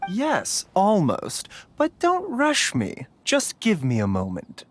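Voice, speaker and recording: A woman voicing a suave, genteel, gently teasing doctor in a game, unhurried. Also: medium pitch, wildly swooping pitch, slight background noise. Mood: placating. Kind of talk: game character voice acting.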